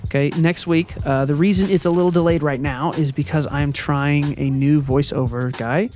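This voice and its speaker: A man with a high, youthful-sounding voice talks through channel updates in a rambling, hesitant way, full of ums and self-corrections. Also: fairly even intonation, moderate pace, a little mellow, soft background music. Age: adult. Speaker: channel owner and video editor. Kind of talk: casual channel update monologue. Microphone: voiceover recorded before the gameplay footage.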